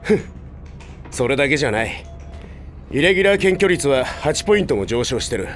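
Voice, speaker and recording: An actor playing a blunt, insistent young male android rebel who talks roughly and casually. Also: mid-range voice, sing-song, brisk, natural voice. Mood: conspiratorial. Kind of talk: Japanese audio drama acting. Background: background music.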